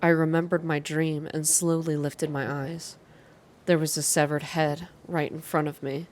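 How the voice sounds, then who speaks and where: A woman's voice: low-pitched, little pitch movement, a little slow, a little hiss or hum. A woman hosting a reading channel, speaking in an ASMR style for her listeners. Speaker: video game reader and channel host. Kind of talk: ASMR narrated reading and casual updates to viewers.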